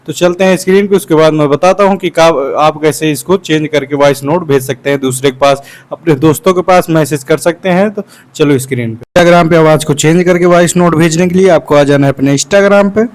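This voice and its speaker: Higher-pitched man hosting a tech tutorial. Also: fairly quick. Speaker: tech tutorial channel host. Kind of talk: casual step-by-step phone app tutorial.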